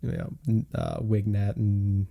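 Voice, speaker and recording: A man's voice: on the low side, huge pitch swings, measured pace, creaky, warm tone. A man hosting a podcast, talking casually in a political discussion. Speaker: podcast host.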